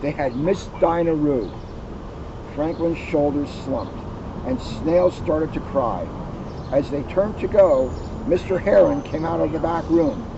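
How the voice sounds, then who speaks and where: A man's voice: on the high side, unhurried, creaky. Man reading aloud in muffled, noisy audio. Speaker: police officer reading a children's story. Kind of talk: storybook read-aloud with casual chat.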